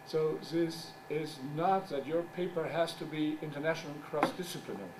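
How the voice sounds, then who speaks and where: A middle-aged man whose first language is German gives a conference talk as a journal editor. He speaks English haltingly, searches for words, and makes wry asides. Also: fairly high pitch. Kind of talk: conference presentation. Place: conference room. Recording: distant mic with background noise.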